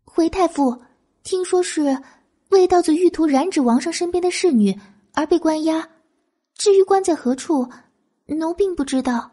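Casting: woman playing a deferential young maid in an audiobook, very high, soft-toned. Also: normal speed. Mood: meek, respectful. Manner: deferential, formal. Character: meek, respectful young palace maid.

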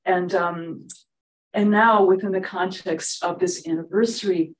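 A woman, a conductor whose first language is Ukrainian, giving a measured academic talk on a panel in a seminar room. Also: lowish voice, fairly even intonation, recorded from a distance, a little hiss or hum, poor audio. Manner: measured, precise lecturing.

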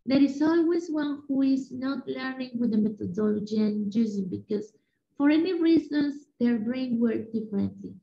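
A woman from Mexico with a Mexican Spanish accent, a Spanish-speaking teacher giving a lively, chatty conference talk to other teachers over a video call. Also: fairly high pitch, creaky. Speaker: teacher presenting at an education conference. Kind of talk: presentation lecture over slides. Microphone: laptop or webcam mic on a video call. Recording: echoey room, dull lo-fi sound.

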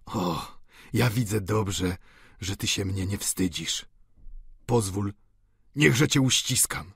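A man's voice: on the low side, slow, vocal fry, breathy edge, no echo, clean studio sound, exaggerated voice. Man voicing an anxious old father. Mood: pleading. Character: old doting father, pleading and fretful.

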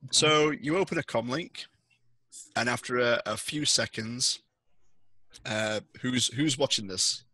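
A man working as game master, narrating a tabletop horror game in halting pieces on a headset. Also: medium pitch, sharp tone, no room sound, rough recording. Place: indoors, on a video call.

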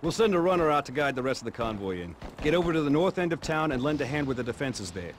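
An actor playing a battle-worn WWII field commander in a video game, sardonic yet commanding. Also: male voice, medium pitch, very animated, quick pace, a little hiss or hum, close to an everyday voice. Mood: wry, weary. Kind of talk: video game cutscene acting.

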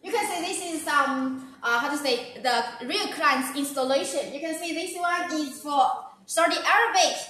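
A high, bright-voiced woman with a Chinese accent pitches products on a live stream, leaning on okay and wow between points. Her voice rings through a very echoey room. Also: moderate pace, few pauses, slight background noise. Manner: eager, repeats "okay" and "wow", non-native phrasing. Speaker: sales presenter.

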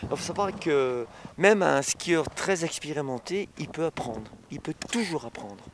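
Halting middle-aged ski instructor, outdoors. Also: male voice, fairly high pitch, expressive, noisy background. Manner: halting, frequent "hein" and "évidemment" tags. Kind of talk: casual interview answers. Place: outdoors on a snowy ski slope. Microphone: handheld mic.

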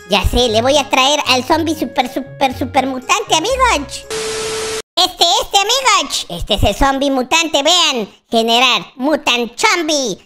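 A playful, naive baby boy gamer with a very high, bright voice. He swoops dramatically through exclamations and repeats himself excitedly, in the manner of a children's Minecraft roleplay video. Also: full character voice. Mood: excited, chatty. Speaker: YouTube gamer playing a character.

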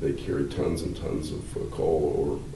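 Very deep, slow older man explaining. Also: little pitch movement, creaky. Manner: measured, halting, many fillers and restarts; gestures with hands while talking. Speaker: law professor. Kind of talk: explanatory interview answers. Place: wood-paneled interview room, seated indoors. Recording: roomy, slightly distant, faint hiss.

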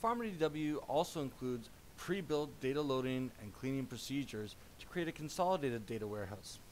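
Man narrating a software demo, faint hiss. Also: medium pitch, expressive, poor audio. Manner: measured explanatory presenter tone.

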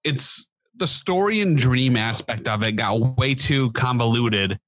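A man in his thirties gives his opinions in casual podcast talk. His pitch is middling. He is recorded dry and close to the mic. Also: lively intonation, unhurried, fairly bright tone, dry recording. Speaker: podcast co-host. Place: indoor home room, remote video call. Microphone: close podcast microphone.

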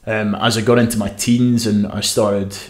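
Scottish man with a low, roomy voice. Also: moderate pace. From west coast of Scotland. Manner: relaxed storytelling with frequent restarts. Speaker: guest swimmer. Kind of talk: podcast interview. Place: small room with podcast setup. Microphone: desk mic on stand.

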